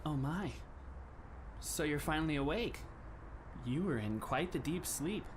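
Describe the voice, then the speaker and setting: A man's voice: on the high side, expressive, a little slow, slight background noise. A man playing a polite, composed teenage schoolmate in an anime fan dub, with formal, courteous phrasing. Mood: polite, relieved.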